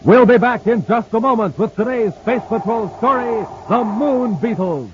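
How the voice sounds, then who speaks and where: A man's voice: very high voice, moderate pace. A man announcing and reading sponsor ads for a 1950s radio serial in a showman-like, exclamatory way. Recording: muffled old radio transcription with faint hiss.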